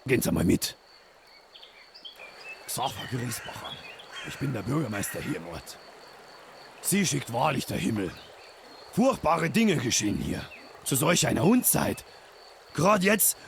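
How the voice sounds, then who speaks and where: An actor playing an anxious older village mayor with a Bavarian accent, stumbling and repeating words. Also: male voice, a little high, vocal fry, fairly bright tone, slight background noise, exaggerated voice. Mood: worried. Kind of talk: game character dialogue.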